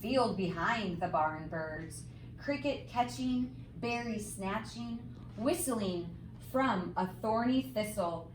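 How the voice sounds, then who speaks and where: A woman reading a picture book aloud to young children like a teacher, with expressive, sing-song, emphatic phrasing and simple explanatory asides. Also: mid-range voice, slow pace. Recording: roomy, distant mic, faint background noise.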